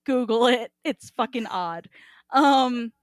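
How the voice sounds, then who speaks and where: A woman's voice: on the high side, slow pace, no room sound, studio quality. A woman hosting a casual podcast chat, talking in an irreverent, informal, sweary way. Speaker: podcast host.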